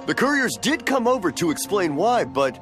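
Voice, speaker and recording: A man playing a kindly, placid, hard-of-hearing old fireworks maker in a video game, genial and halting. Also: high voice, very animated. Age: older. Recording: music under the voice.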